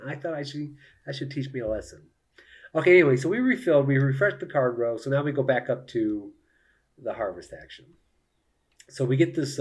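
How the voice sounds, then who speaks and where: A man plays a board game solo and talks through it for viewers in a casual way. His voice sits at a medium pitch but moves around in a lively range. He thinks out loud and explains each choice as he goes. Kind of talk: casual live play-through commentary, thinking aloud.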